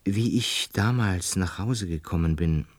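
An actor in a German radio play voicing a calm, introspective lodger in a musing, murmuring manner. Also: male voice, low voice, measured pace, no room sound. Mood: brooding. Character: brooding male lodger, former medical student, calm, introspective.

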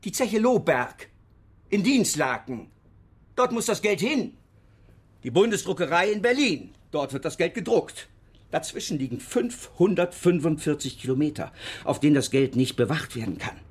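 Husky, officious government man. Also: on the high side, animated, normal speed. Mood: grave, insistent. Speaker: actor playing an official. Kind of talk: radio crime drama dialogue.

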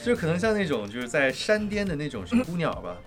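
A man's voice: a little high, big pitch swings, fast. A man in casual group banter, teasing his fellow cast members. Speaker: cast member of an audio drama. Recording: loud music under the voice. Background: loud music.

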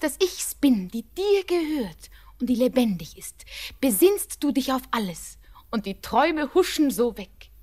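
Breathy, high-voiced anxious young bride. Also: female voice, dark tone. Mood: soothing, loving. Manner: breathy, earnest, intimate, emotional delivery. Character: tender, anxious young bride-to-be, devoted granddaughter. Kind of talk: 1940s German radio play acting.

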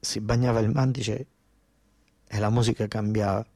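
A man with a southern Italian accent from Basilicata delivers a theatrical first-person monologue, colloquial and dialect-tinged, with repeated phrases and dramatic pauses. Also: lowish voice, steady tone, dark tone, no room sound. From southern Italy. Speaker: stage storyteller and monologue actor.

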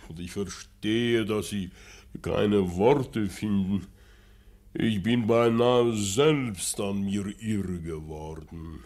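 An actor playing a self-righteous, moralizing professor in a German radio play, speaking in a formal, pompous and measured way. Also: male voice, lowish voice, darkish tone. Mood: solemn confession.

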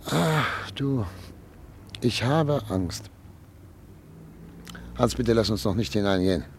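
An actor playing a 39-year-old professor and chief physician in a period radio drama, speaking in a wistful, reflective, quietly anxious way. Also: male voice, on the low side, lively intonation, slow, slight background noise. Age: 30s. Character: 39-year-old doctor, proud yet uneasy.

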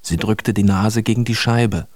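A man's voice: lowish voice, fairly even intonation, measured pace, a little mellow, no echo. A man narrating a radio play as a scripted storyteller.

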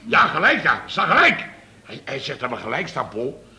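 An actor playing a blustering, flustered contractor boss in a radio comedy serial, stammering and repeating himself excitedly. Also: male voice, mid-range voice, normal speed, exaggerated voice.

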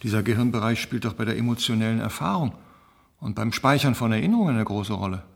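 An actor playing a curious, wry scientist in a German radio drama, with a gravelly, husky voice. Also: male voice, lowish voice, fast. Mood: curious, thoughtful. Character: curious, wry male scientist reviewing brain scans.

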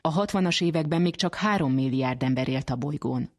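A radio presenter reading scripted narration for a programme. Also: medium pitch. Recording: music under the voice. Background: music.

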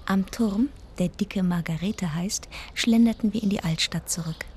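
An actress in a radio drama playing an inquisitive, fond deaconess who also narrates the story intimately. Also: female voice, mid-range voice, moderate pace, darkish tone, a little hiss or hum, lightly acted. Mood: calm narration.

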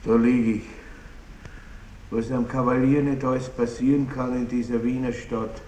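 An actor as a blustering old baron, roomy sound. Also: male voice, medium pitch, level tone, a little mellow, lightly acted. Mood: groaning, aggrieved. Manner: blustering, self-pitying, chuckling, drawn-out words. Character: pompous, lecherous, blustering aging country baron. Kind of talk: theatrical radio play acting. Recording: roomy 1960s radio drama, faint noise.

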